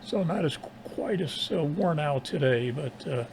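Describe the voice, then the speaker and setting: A man with a tired, strained voice talks casually and haltingly as an amateur radio operator. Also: fairly high pitch, expressive, vocal fry, darkish tone, slight background noise. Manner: halting, pausing often mid-sentence. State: tired, strained voice after nearly losing it. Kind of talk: casual ham radio conversation.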